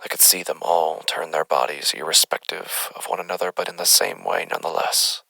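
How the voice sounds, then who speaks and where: A man playing an unsettled doctor who dictates formal, deliberate case findings on the paranormal in a horror audio drama. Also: low-pitched, vocal fry, bright, forceful tone, slightly rough, no room sound, full character voice, rough recording. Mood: hushed awe. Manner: formal, deliberate, halting, wordy. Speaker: investigator narrator.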